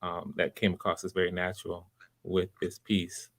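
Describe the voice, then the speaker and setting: A man's voice: low voice, completely flat delivery, normal speed. A man casually hosting a livestreamed audition, giving encouraging, conversational feedback. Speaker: host and casting director of live auditions. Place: live video call stream.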